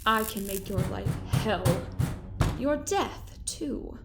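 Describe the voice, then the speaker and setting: A woman's voice: a little high, animated, slow pace, over loud music. An actress playing a cold, haughty woman doctor who threatens people in an audio drama. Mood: cold, threatening. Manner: haughty, menacing.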